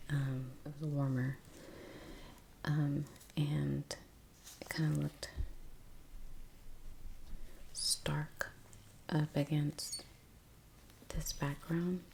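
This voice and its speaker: A woman speaking in a soft, breathy half-whisper, chatting casually and haltingly in an ASMR style as she paints. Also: low-pitched, slight background noise, rough recording. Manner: unhurried, halting, trailing pauses. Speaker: ASMR painting video creator. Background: soft brush sounds.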